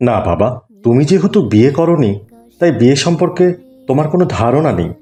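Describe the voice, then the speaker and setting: A man's voice: medium pitch, very animated, a little fast, dark tone, close to an everyday voice. A man playing a kindly, pious older neighbour who gives patient, earnest advice in an Islamic cartoon. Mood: earnest admonishing.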